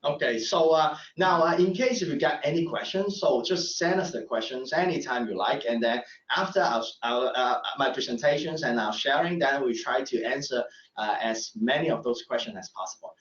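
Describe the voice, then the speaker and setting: A middle-aged man, a professor giving a live admissions webinar, speaking haltingly with many fillers and restarts. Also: medium pitch, a bit bright. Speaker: professor presenting admissions talk. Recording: echoey room, distant mic, dull sound.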